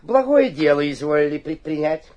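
An actor playing an obsequious, cunning provincial mayor in a theatrical radio play, flattering and slyly suspicious, with a husky voice. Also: male voice, on the high side, normal speed, dull audio. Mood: fawning. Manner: fawning flattery with muttered suspicious asides. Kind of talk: theatrical radio drama acting.

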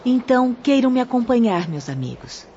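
A woman's voice: fairly high pitch, big pitch swings, normal speed, mellow. A woman playing a kindly young spirit guide in a radio drama, formal, gracious and instructive. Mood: composed, gracious. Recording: dull, faintly noisy audio.

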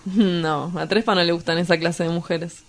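A woman's voice: fairly low pitch, steady tone, normal speed, lightly acted. A woman playing a cynical, evasive young male outcast in a dramatized dialogue, with an Argentine accent and a dry, offhand manner. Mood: blunt.

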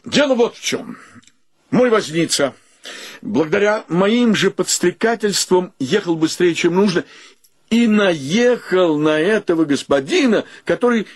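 An actor playing an exuberant, joking gentleman with ornate courtesy and theatrical exclamations in a radio play. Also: high voice, animated, unhurried, breathy edge, exaggerated voice. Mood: apologetic, courteous. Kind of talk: Russian radio play acting.

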